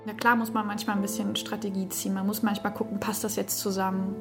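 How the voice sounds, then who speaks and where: A woman's voice: medium pitch, flat delivery, quick pace, over music. A woman in her twenties reflecting in a matter-of-fact way in an interview. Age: 20s.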